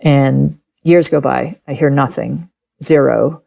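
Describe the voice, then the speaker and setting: A woman's voice: rumbling low, slow pace, creaky. A middle-aged woman whose first language is German, a guest telling her own story candidly and animatedly in an interview. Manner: candid, animated, acts out past conversations and laughs often. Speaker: author and podcast guest.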